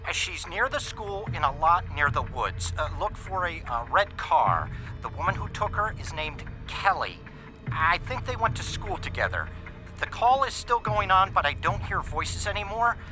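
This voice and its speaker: A worried male informant in an audio drama. His high voice moves a lot in pitch, urgent but halting, over music. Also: sing-song, rough recording. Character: urgent, hesitant man relaying tracking information.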